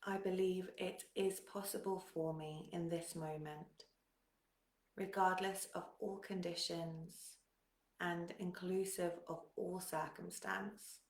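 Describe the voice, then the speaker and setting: A woman guides a meditation very slowly, with generous pauses between phrases. Her voice sits fairly low and has a creaky edge. She sounds calm and soothing as she gives gentle instructions. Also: reverberant.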